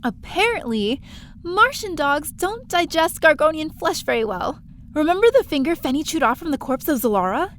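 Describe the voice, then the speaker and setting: A woman's voice: sits high, very animated, moderate pace, a bit bright, a little hiss or hum. A woman playing a young space adventurer, telling her own story expressively in an audio drama. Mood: wry. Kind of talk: first-person audio drama narration.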